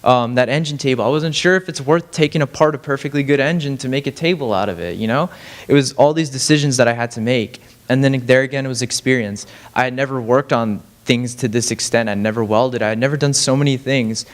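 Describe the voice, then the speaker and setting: A man's voice: medium pitch, fairly quick. A young man giving a casual, rambling talk on stage as a student speaker, self-deprecating and full of fillers and restarts. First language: Farsi. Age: young adult. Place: lecture stage.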